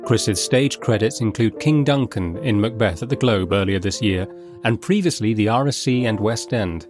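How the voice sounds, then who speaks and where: A man with a fairly low voice hosts a story podcast. He reads a scripted introduction, with soft guitar music playing behind him. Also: normal speed. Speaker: podcast host.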